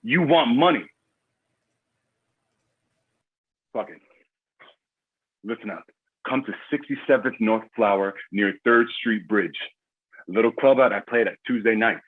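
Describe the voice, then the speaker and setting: An actor playing a cocky, foul-mouthed crime boss with swaggering street talk in a screenplay table read. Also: male voice, fairly low pitch, normal speed, narrow, tinny audio, lightly acted. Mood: irritated.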